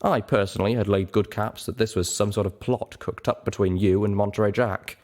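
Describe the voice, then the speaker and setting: Guy doing a gentle stallion lawyer type, lowish voice, dry, formal, storybook character. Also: steady tone, brisk, lightly acted. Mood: formal, frank. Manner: formal, matter-of-fact, wordy. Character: gentle male pony legal clerk, dry and formal.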